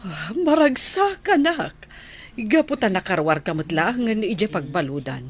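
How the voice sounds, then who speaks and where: A woman's voice: mid-range voice, very animated, moderate pace, lightly acted. A woman with an Ilocano accent acting a kindly, encouraging school principal in a radio drama.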